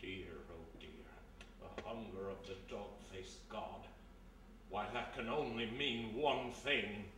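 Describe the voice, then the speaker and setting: A man's voice: fairly low pitch, fairly even intonation, slow pace. A man playing an anxious, scholarly museum curator in a theatrical radio play, recorded live. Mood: ominous dread. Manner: theatrical, muttering then exclaiming. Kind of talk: live old-time radio play acting. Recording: echoey live hall, distant mic, faint noise.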